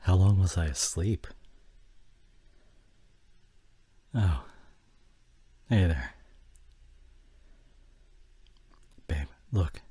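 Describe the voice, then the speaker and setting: A man playing an injured, fading husband and father in an emotional ASMR audio drama, tender and intimate, with a breathy voice that often drops toward a whisper. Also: sits low, animated, takes it slowly, dark tone, exaggerated voice. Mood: gentle, dazed. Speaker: audio roleplay voice actor.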